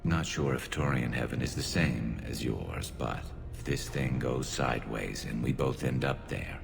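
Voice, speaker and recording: A wry, loyal alien war comrade from a video game, speaks in an extremely low voice with a faint metallic doubling. The tone is dry and confiding, thick with gravel, and music plays behind it. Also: male voice, unhurried, full character voice. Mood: tender, earnest. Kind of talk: video game dramatic acting. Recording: long reverb, music behind.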